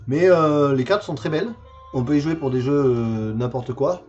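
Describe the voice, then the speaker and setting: A man's voice: mid-range voice, moderate pace, a little mellow, muffled recording, soft background music. A man in his forties chatting casually on a livestream while showing games from his collection, hesitant and full of fillers and repeated words. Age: 40s. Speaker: streamer presenting a game collection.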